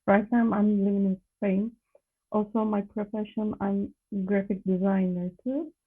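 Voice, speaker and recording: Flat-voiced woman on tinny call. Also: mid-range voice, measured pace, husky. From Turkey. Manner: hesitant, many fillers. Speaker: volunteer graphic designer. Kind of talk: online webinar self-introduction. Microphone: video call. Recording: tinny phone-like call audio.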